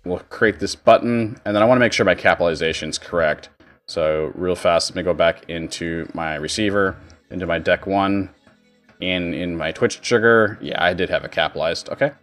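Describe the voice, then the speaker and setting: Male streamer, lowish voice, casual walk-through delivery over light music. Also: normal speed, fairly bright tone. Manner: informal step-by-step explaining. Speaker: streamer giving a tutorial. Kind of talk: casual software tutorial. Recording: music behind the voice. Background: background music.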